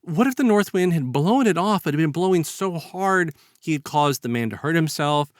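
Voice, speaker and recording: A man's voice: on the high side, expressive, moderate pace, no room sound, studio quality. A man speaking as a podcast host in an earnest, emphatic way, talking through ideas conversationally. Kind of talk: conversational discussion of a story's moral.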